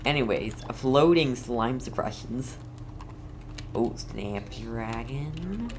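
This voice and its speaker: A man giving casual, excitable and reactive commentary as he plays a video game. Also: mid-range voice, slight background noise. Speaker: game streamer.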